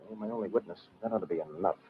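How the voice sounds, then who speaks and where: An actor playing a brash, wisecracking American adventurer, slangy and clipped, in an old-time radio drama. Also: male voice, fairly low pitch, rapid-fire, close to an everyday voice. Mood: insistent. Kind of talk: old-time radio adventure acting with first-person narration. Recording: dull, hissy old radio recording.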